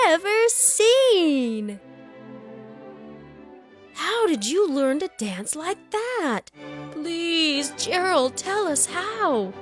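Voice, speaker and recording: Loud, excitable storybook animals, voiced by a woman, exclaim in a raised, high voice. The words are drawn out very slowly and with exaggeration, over faint music. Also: exaggerated voice. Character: jeering, excitable crowd of dancing jungle animals. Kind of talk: children's storybook read-aloud.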